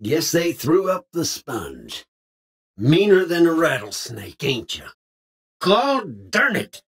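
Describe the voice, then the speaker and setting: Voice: mid-range voice, huge pitch swings, takes it slowly, slightly rough, exaggerated voice. A voice actor playing a feisty folksy cowboy in a video game, speaking in an exaggerated frontier drawl full of old Western slang. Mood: taunting. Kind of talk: video game character voice lines.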